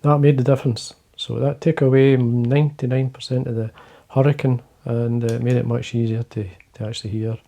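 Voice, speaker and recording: An older Scottish man with a low, quiet voice, gravelly and mellow in tone. He chats casually to the camera from his desk, wandering through his points with plenty of fillers. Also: flat delivery, a little fast, hardly any pauses. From Scotland. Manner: quiet, rambling, many fillers and restarts. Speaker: fly-fishing video host. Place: indoors, fly-tying desk.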